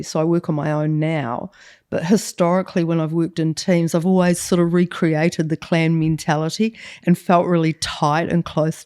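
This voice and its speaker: Earnest New Zealand woman, low voice. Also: dark tone, clean tone, no room sound, clean studio sound. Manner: reflective, earnest, laughs easily. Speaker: guest. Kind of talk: candid conversational interview. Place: studio with a couch.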